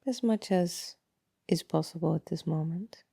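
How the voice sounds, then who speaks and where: Dark, husky woman guiding meditation softly. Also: lowish voice, dry recording, clean studio sound. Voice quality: soft, breathy, often trailing into near-whisper. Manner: calm, unhurried, gentle instructing with long pauses. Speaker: meditation guide. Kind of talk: guided body scan meditation, slow and soothing.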